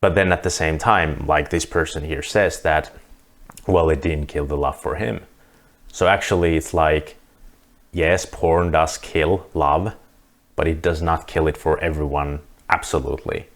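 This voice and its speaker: A man with a very low voice and a Finnish accent, speaking English as his second language. He talks to camera in an earnest, reflective way, restarting sentences as he works through his thoughts. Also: fairly even intonation, measured pace. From Finland. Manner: earnest, thinking aloud with restarts. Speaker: vlogger. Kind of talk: casual monologue to camera.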